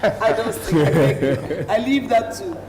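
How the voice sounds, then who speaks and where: Hoarse woman, talk show co-host, enthusiastic, echoey studio, loud crowd. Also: mid-range voice, lively intonation, a little slow, distant mic. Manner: enthusiastic, exclaiming and laughing. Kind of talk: lively talk show chat. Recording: echoey studio with audience noise.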